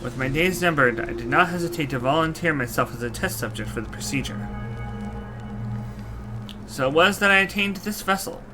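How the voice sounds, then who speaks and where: A man's voice: on the high side, animated, normal speed, pauses often, close to an everyday voice, poor audio. Man playing courtly old knight in mechanical body, archaic phrasing, solemn storyteller, fantasy game, music behind. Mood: solemn, earnest. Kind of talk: fantasy game character dialogue.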